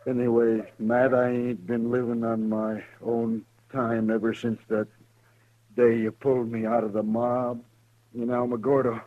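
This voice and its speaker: An actor playing a dying frontier lawman who speaks slowly and weakly in a 1950s radio western. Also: mid-range voice, monotone, dry recording, close to an everyday voice. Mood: fading. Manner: slow, faint, halting. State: dying, weak. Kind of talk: 1950s radio drama acting. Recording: dry, muffled vintage radio recording.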